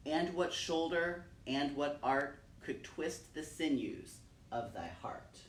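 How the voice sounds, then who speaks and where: A man's voice: fairly high pitch, lively intonation, slow. Man hosting storytime in an echoey room. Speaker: storytime host. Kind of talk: poetry reading and talk for children. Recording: roomy, distant mic, faint noise.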